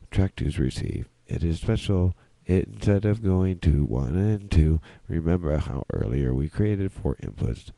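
A man with a very muted, mellow low voice presents an informal tutorial. He repeats words in a playful, chanting way. The sound is close and dry. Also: gravelly, no room sound. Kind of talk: informal screen-recorded walkthrough.

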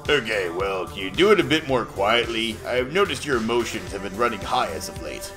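A man playing a concerned, politely firm store boss in an animated dark comedy, speaking tactfully and cautiously. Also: on the low side, fairly quick, music in the background, close to an everyday voice.

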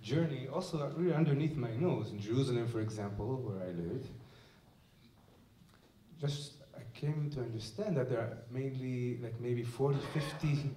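A man's voice: mid-range voice, normal speed, dark tone, a little hiss or hum. Man speaking from afar in an echoey hall. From Israel. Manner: thoughtful, searching for words with many restarts. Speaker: musician and lecturer. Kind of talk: onstage talk to an audience. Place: museum event space with audience. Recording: echoey room heard from a distance.

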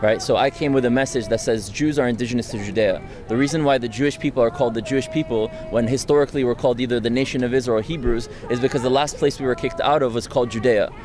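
A young man from France giving a street interview outdoors on a campus, talking fast and insistently into a handheld mic. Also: mid-range voice, fairly even intonation, music in the background. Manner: fast, insistent, argumentative, frequent tag "right?". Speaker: interviewee, student activist. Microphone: handheld mic held close by interviewer.

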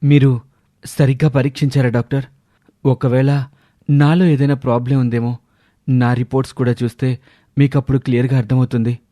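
A man's voice: medium pitch, level tone, brisk, pauses often, dark tone, no room sound, natural voice. A man voicing an anxious, earnest husband who speaks hesitantly and pleadingly in an audio story. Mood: shocked, anxious.

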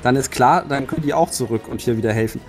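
Quick-talking guy, tabletop player, plain tactics talk, faint music. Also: medium pitch. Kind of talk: strategy discussion in a tabletop game.

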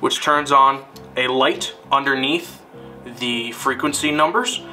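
A man narrating a scripted instructional film in a deliberate, formal, step-by-step manner. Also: mid-range voice, pushed and bright, distant mic, soft background music, poor audio.

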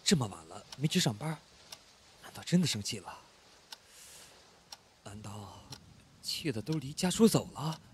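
A man playing a hot-tempered but caring young roommate in an audio drama, expressive and exclamatory. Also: mid-range voice, unhurried, long pauses, a little hiss or hum. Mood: worried. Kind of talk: Chinese audio drama acting.

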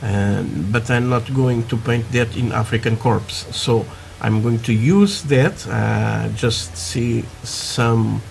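A man from Portugal with a Portuguese accent, chatting haltingly through a hobby tutorial with many fillers, while building at a workbench. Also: mid-range voice, creaky, slight background noise. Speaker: scale model builder. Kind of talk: casual hobby tutorial talk. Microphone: camera over work desk, hands only.

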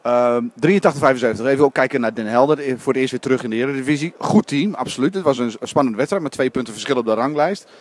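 A man's voice: mid-range voice, rapid-fire, hardly any pauses. A man interviewing a coach and a player after a basketball game, asking casual questions. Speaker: sports interviewer.

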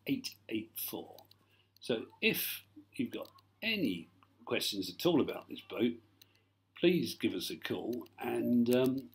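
Low-pitched man presenting a sales tour. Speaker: yacht broker. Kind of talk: sales tour narration, semi-improvised.

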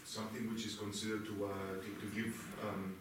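A man's voice: lowish voice, flat delivery, brisk. Hesitant man, far off in echo. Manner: hesitant, searching for words. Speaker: audience member. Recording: far-off voice in a very echoey room, noise.

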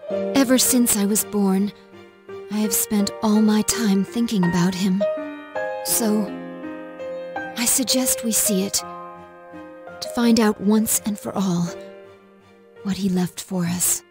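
A woman playing a gentle, earnest young female android in a video game, speaking in a measured, soft and formal way. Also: mid-range voice, fairly even intonation, music in the background. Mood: solemn resolve.

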